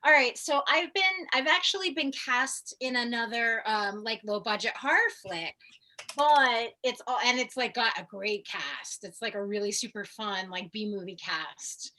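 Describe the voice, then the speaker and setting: A woman chatting casually on a video call as host, with an effusive, excitable and gushing way of talking. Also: on the high side, normal speed. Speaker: host of a tarot gathering.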